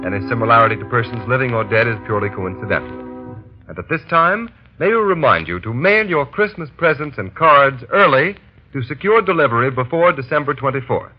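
A man's voice: medium pitch, animated, a little fast, soft background music. A male announcer reading a script in emphatic, formal 1930s radio style. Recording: muffled old radio broadcast.

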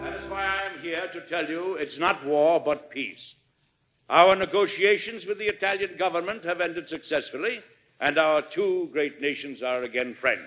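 An actor playing a genial, statesmanlike middle-aged American president in 1940s radio drama style, oratorical and folksy, with an American accent. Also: male voice, fairly high pitch, moderate pace, a bit bright, close to an everyday voice. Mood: solemn, proclaiming. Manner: oratorical in speeches, folksy in private. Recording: dull vintage radio recording with music.

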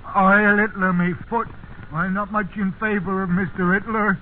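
An actor playing a stubborn, grumbling old farmhand with a rural English accent in a 1950s radio drama. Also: male voice, high voice, flat delivery, moderate pace, lightly acted. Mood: indignant. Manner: grumbling, indignant, folksy. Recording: noisy, tinny 1950s radio broadcast.

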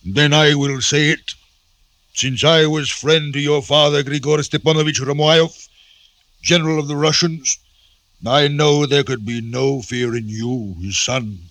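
Melancholy old general, gravelly, unhurried, declamatory sighs, classic radio drama. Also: male voice, on the high side, steady tone, fairly bright tone, no echo. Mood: formal, proud. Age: older. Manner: theatrical, sighing, declamatory. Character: melancholy old Russian general, a doting father. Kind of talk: 1950s radio drama acting.

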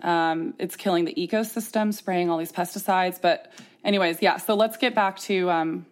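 Earnest woman host, slightly low voice. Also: fairly even intonation, moderate pace. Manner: earnest, emphatic, casual wording. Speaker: podcast host. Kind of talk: candid, casual interview conversation.

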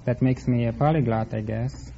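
A man's voice: mid-range voice, steady tone, normal speed, crowd noise. Hesitant male contestant on muffled old radio. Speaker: quiz contestant. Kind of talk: unscripted answers to a host. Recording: muffled vintage radio, faint noise.

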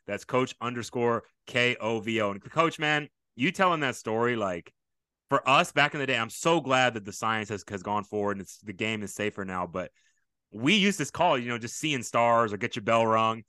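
A middle-aged man hosting a podcast, eager and animated, jumping in with quick reactions. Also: mid-range voice, clear, resonant tone, no echo. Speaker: podcast host. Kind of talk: casual interview. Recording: dry close sound.

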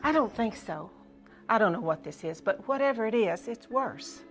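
An actress playing a distressed carer of sick survivors in an audio drama, anxious and helpless, with a gravelly edge. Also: female voice, medium pitch, animated, normal speed, faint music behind, lightly acted. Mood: alarmed worry.

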